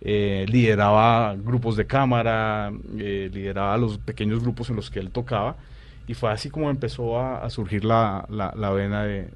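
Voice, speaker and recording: Halting man, lowish voice. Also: fairly even intonation, slight background noise. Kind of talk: interview talk.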